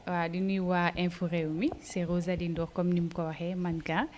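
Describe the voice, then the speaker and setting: Chatty young Senegalese woman, lower voice. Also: flat delivery, normal speed, a little mellow, a little hiss or hum. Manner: chatty, laughs easily, hesitant fillers. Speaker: fashion model, interview guest. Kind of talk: casual interview, mixing Wolof and French.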